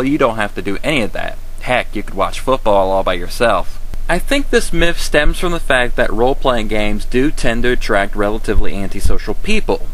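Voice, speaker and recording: A man's voice: mid-range voice, fairly bright tone, a little hiss or hum, low-quality recording. A man hosting a web series, reading scripted comic commentary in a sarcastic, emphatic way. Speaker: host of a web series on roleplaying games.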